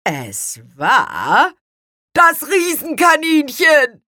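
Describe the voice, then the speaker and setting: A woman voicing an excitable, self-important male storybook creature who blurts and repeats words emphatically. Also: medium pitch, takes it slowly, breathy edge, dry recording, clean studio sound, pitched above the performer's own voice, full character voice. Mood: triumphant, huffy. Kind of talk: children's storybook character reading.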